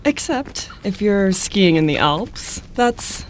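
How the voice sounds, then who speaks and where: A woman's voice: mid-range voice, very animated, takes it slowly, a little hiss or hum. A woman playing a breezy, well-traveled lady who talks in a theatrical, effusive way, in an audio drama. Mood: delighted, breezy.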